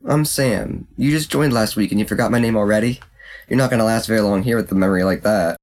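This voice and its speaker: A man playing a sly, sharp-tongued young male fox student in an audiobook. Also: lowish voice, quick pace, close to an everyday voice. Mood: polite, teasing. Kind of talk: acted audiobook character dialogue.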